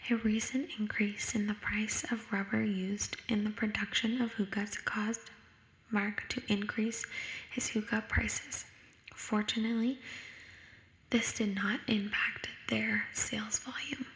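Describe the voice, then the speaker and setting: Slow whispering young woman with echo. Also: mid-range voice, fairly even intonation. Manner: whispered, hushed and unhurried. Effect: echo sound effect. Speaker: ASMR creator. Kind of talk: ASMR, reading study questions aloud. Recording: echoing whisper recording.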